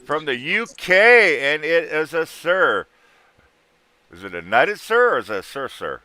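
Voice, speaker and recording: A man's voice: a little high, sing-song, unhurried, sharp tone, no echo. A man in his thirties, hosting a livestream and bantering with guests in trucker slang. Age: 30s. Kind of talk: casual livestream chat.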